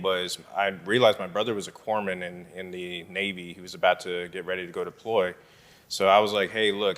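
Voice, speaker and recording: A man from Southern California, a guest answering interview questions in a halting way with many fillers. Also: deep voice, sharp tone, big-room sound. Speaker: political candidate guest. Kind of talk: casual interview chat.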